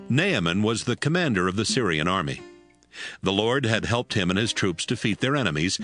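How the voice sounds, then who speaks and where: Male Bible narrator, lowish pitch, expressive scripted storytelling, light music bed.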